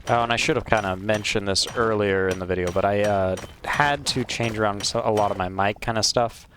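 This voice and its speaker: Man, gameplay host, lowish voice, casual rambling commentary, lots of ums, wired mic. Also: a bit bright, a little hiss or hum. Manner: hesitant, filler-heavy, unhurried rambling. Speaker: let's play host. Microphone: wired microphone.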